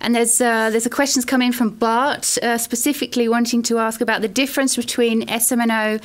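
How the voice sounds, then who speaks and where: A woman's voice: fairly high pitch, flat delivery, fairly quick. A British woman lecturer hosting a live online open day and passing viewers' questions to a panel. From Britain. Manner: friendly, upbeat, moderating questions. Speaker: host and lecturer.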